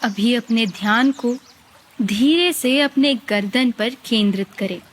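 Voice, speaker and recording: Female meditation guide. Slightly high register, markedly unhurried, calm and gently instructive with lots of space between phrases. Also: a little hiss or hum.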